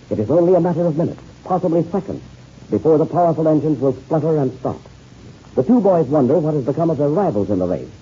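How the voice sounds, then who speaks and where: A man's voice: a little high, dark tone. A man narrating a 1930s radio serial as its announcer, with dramatic delivery. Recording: muffled, noisy old radio recording.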